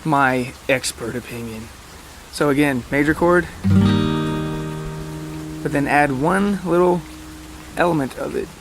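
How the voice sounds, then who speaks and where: A man's voice: a little high, measured pace, long pauses, loud music behind the voice. A man hosting a podcast in a casual, rambling monologue, informal, hesitant and self-deprecating. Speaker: podcast host.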